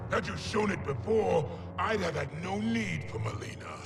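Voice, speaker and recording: An actor playing a boastful, tyrannical fantasy warlord in a game cutscene, gravelly, pompous and menacing. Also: male voice, high voice, sing-song, normal speed, echoey room, exaggerated voice. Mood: scornful. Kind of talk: video game cutscene acting. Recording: loud game music over the voice.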